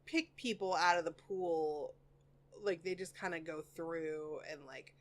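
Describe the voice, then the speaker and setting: Loud, swearing woman co-host. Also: low voice, unhurried. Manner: loud, swears freely, animated storytelling. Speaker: podcast co-host. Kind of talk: casual chat. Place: home studio room.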